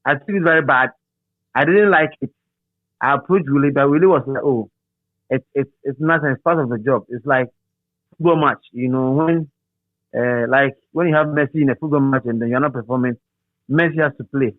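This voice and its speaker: A middle-aged Ghanaian man reminiscing as an interview guest in a halting, repetitive, animated storytelling manner. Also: mid-range voice, no echo. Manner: halting, repeats words and phrases, animated storyteller. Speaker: interview guest, radio DJ and presenter. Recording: thin phone-like sound.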